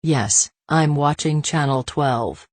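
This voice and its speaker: A woman with a very deep voice for a woman reads learner phrases one by one. Her delivery is slow and measured, with a pause after each line. The recording is dry, with no reverb. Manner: slow, even, careful phrase-by-phrase reading. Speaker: phrase reader. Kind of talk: reading translated phrases for learners.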